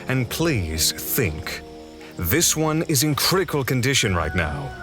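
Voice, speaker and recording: An actor playing a composed, principled elf patrol leader in a fantasy audio drama, speaking formally and with command. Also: male voice, medium pitch, big pitch swings, slow pace. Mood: firm, polite. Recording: music behind the voice.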